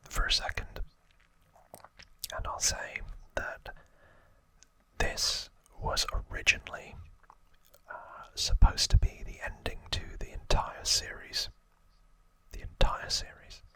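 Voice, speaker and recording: A man speaking in a breathy, gentle whisper, hosting an ASMR trivia explainer close to the microphone. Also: dry recording. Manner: slow, gentle, hushed delivery. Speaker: ASMR video creator and host.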